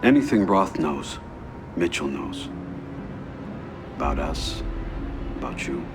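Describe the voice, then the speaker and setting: A ruthless mob boss in a crime game. His voice is low and quiet, his phrases terse and coldly controlled, with music clearly behind him. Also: lively intonation, takes it slowly, exaggerated voice. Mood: cold menace. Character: cold, ruthless middle-aged mafia boss. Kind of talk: video game cutscene acting.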